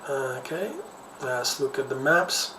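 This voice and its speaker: A man's voice: mid-range voice, a little slow. Echoey, distant man with a Ukrainian accent. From Kherson, Ukraine. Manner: rambling, conversational, repeats words while searching for phrasing. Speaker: social commentator, host. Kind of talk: informal off-the-cuff news commentary. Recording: echoey room, distant mic, faint noise.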